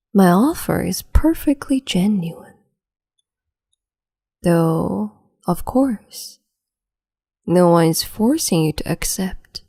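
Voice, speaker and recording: A woman voicing a cruel, capricious empress in a whispered roleplay, slow, mocking and condescending, close to the mic. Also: on the low side, mellow, full character voice. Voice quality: whispery, breathy.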